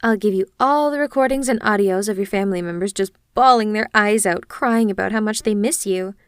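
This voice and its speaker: A woman's voice: mid-range voice, fairly quick, clear, resonant tone, no room sound, clean studio sound, lightly acted. A woman playing an obsessive, clingy yandere kidnapper in an intimate ASMR roleplay, speaking in a gushing, hesitant, awkwardly earnest way straight to the listener. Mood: earnest, insistent.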